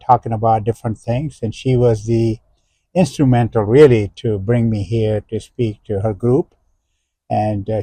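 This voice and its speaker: Older man, halting professorial host. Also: mid-range voice, dark tone, no room sound. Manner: halting, many "uh" fillers, explanatory. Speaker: interview host, professor emeritus. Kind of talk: unscripted interview.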